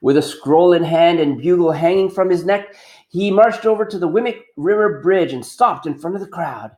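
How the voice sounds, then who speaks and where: A man reading a storybook aloud as a teacher, expressive and pausing to put questions to pupils. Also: high voice.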